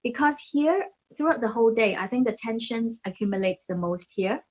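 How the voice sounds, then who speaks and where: A woman's voice: fairly high pitch, lively intonation, a little fast, darkish tone. A woman from Hong Kong, a facialist and brand founder, casually explaining and demonstrating products in a hesitant way, repeating herself as she looks for phrasing. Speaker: beauty brand founder and facialist giving a demo.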